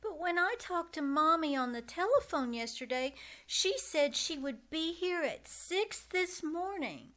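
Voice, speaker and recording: A woman's voice: very high-pitched, lively intonation, measured pace, hardly any pauses, a bit bright, full character voice. A woman playing an eager, anxious little girl in an old-time radio drama. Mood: worried, pleading.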